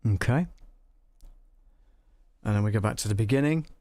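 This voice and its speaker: A man hosting a casual live audio software walkthrough, muttering to himself as he troubleshoots, with a gravelly, creaky voice. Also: on the low side, normal speed, dry recording. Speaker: livestream host demonstrating audio software.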